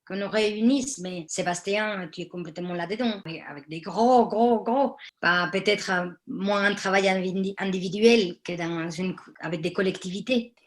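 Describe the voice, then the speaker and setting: A woman speaking on a public panel about the theatre workshops she runs. She tells stories in an animated, engaged way, in a voice of ordinary pitch. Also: normal speed, few pauses. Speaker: theatre workshop leader for women. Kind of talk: panel discussion.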